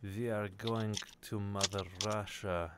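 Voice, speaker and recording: A man on a livestream crew chatting casually, with loud noise and keyboard clicks behind him. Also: fairly low pitch, steady tone, slow pace. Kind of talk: casual chat.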